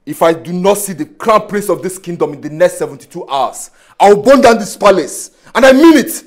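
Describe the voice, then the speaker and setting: An actor playing an arrogant, hot-headed young prince who shouts defiant threats. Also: male voice, fairly high pitch, fairly quick, lightly acted.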